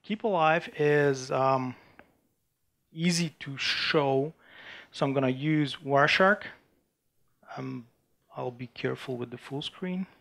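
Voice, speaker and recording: Guy doing a tech demo at a conference, gravelly, keeps restarting sentences. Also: mid-range voice, a bit bright. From Bulgaria. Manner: halting, frequent restarts and fillers while demoing. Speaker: conference speaker. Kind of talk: technical conference talk with live demo. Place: conference room before an audience.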